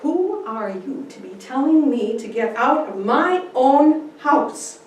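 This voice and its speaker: A woman playing a defiant, plain-spoken frontier wife with a deliberate, emphatic delivery in a dramatic story reading. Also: sits high, expressive, darkish tone, pitched above the performer's own voice. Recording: very echoey room, distant mic, faint noise.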